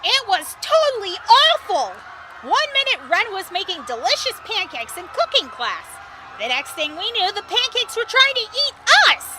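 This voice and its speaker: A woman's voice: medium pitch, very animated, slow pace, few pauses. A woman voicing a hyper, dramatic teenage girl in an audio drama, exclamatory and over the top, on a noisy recording. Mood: indignant, excited. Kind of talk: fan audio drama acting.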